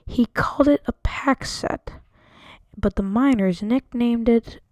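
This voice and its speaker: A woman's voice: medium pitch, dark tone, no echo. A woman narrating a student history project, reading carefully from a script with an occasional stumble. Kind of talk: scripted narration read aloud.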